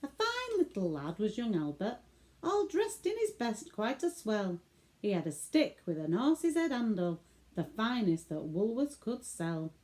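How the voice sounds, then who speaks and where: A woman with a Northern English accent tells a bedtime story in verse. Her voice is fairly high and soft-edged, with lively swoops. She takes her time and delivers it theatrically for children. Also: unhurried, rough recording. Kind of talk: expressive bedtime storytelling, recited comic verse.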